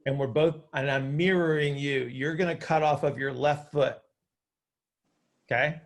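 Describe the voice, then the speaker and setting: A man with a creaky voice, casually explaining as a coach hosting a group call, often checking that listeners follow. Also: a little high, fairly even intonation, measured pace. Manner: informal, hands-on, checks understanding with short tag questions. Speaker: host and coach.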